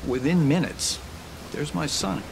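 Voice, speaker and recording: A middle-aged man telling his own survival story in an interview, in a deliberate, dramatic way. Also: on the high side, gravelly, noisy background. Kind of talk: first-person interview storytelling. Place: dark interview studio. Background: music.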